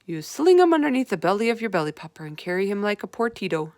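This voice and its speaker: A woman's voice: medium pitch, sing-song, fast talker, darkish tone, dry recording, studio quality, lightly acted. A woman voicing a big, friendly old male giant who mangles his words, read aloud from a storybook. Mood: earnest. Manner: mangled words, folksy, earnest.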